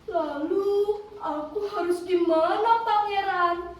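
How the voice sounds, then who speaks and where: A woman's voice: very high-pitched, slow pace. A woman voicing an earnest, childlike young boy in a children's story. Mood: anxious, pleading. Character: earnest young boy, lazy but resolving to change. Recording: roomy, distant mic with background noise.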